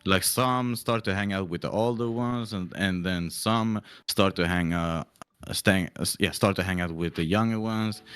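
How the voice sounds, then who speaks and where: A young man chatting casually, halting and repeating words as he goes, with casual swearing. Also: low-pitched, a bit bright, smooth, dry recording. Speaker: podcast co-host. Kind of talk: casual chat.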